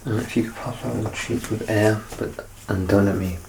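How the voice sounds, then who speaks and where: A soft-spoken ASMR performer playing a clinician in an exam roleplay, gently reassuring and instructing in a hushed voice that often drops to a whisper. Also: medium pitch, steady tone, normal speed, gravelly, background noise.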